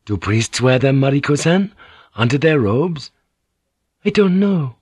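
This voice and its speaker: A man's voice: mid-range voice, big pitch swings, a little slow, a little mellow, slightly rough, dry recording, clean studio sound, pitched above the performer's own voice. A man reading an audiobook, voicing a composed young noblewoman in a polite, formal, restrained manner. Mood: quiet, formal. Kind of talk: audiobook character voice.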